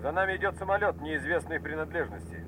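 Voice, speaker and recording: A man's voice: fairly high pitch, sing-song, fast talker, bright, forceful tone, slight background noise, low-quality recording. An actor playing a chatty, slightly impudent but obedient airman in a bomber crew, in a radio war drama. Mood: hesitant, respectful. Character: cheeky, eager male bomber gunner, deferential airman. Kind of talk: Soviet radio drama acting.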